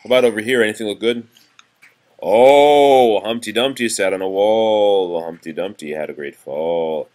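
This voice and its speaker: Male reader, low-pitched, parent at storytime: sing-song rhymes broken up by informal asides and explanations for a young child. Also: moderate pace, darkish tone. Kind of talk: read-aloud of nursery rhymes with casual chat to a child.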